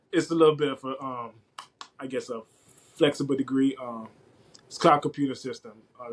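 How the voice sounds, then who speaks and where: A young man with a medium-pitched voice talks casually in an interview. He is informal and wanders a little, often restarting a thought as he explains himself. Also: slightly rough. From West Africa (Liberia), later the US from age eleven. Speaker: podcast guest, IT systems administrator.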